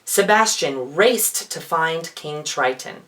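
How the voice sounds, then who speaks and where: A man performing as a drag queen, reading a children's storybook in a theatrical, expressive way with playful asides. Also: fairly high pitch, slow.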